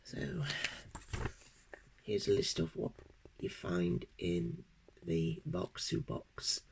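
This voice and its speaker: A British woman with a cold, sounding croaky and sniffly, chatting hesitantly through an unboxing with many ums. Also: low voice, very animated, slow pace, a little mellow, rough recording. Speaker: unboxing vlogger. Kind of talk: casual unboxing chat.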